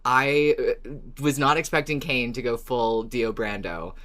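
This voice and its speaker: A man's voice: mid-range voice, fairly even intonation, measured pace, fairly bright tone. A man co-hosting a podcast in casual, easily amused chat. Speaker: podcast co-host.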